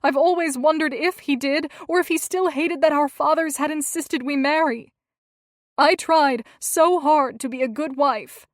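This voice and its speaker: A woman's voice: a little high, normal speed, no echo, clean studio sound, natural voice. A woman voicing a grieving young wife who pleads and breaks with emotion. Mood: tearful.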